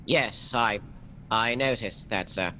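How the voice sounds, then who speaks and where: An actor playing a deferential, methodical police sergeant in a radio mystery, careful and deliberate. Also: male voice, lowish voice, pushed and bright, slight background noise, full character voice. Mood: dutiful. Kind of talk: radio mystery drama acting.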